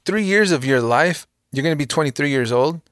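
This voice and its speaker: A man's voice: on the high side, expressive, brisk, fairly bright tone, no room sound. Earnest man in his thirties, dry close mic. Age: 30s. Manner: earnest, motivational, emphatic. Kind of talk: casual podcast conversation.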